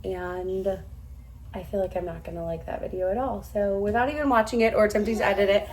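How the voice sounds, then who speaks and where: A woman in her thirties with a slightly hoarse voice, chatting casually to camera at home, self-deprecating and quick to laugh. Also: mid-range voice, steady tone, darkish tone, a little hiss or hum. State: hoarse, recovering from losing her voice. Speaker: vlogger. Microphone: camera a few steps away.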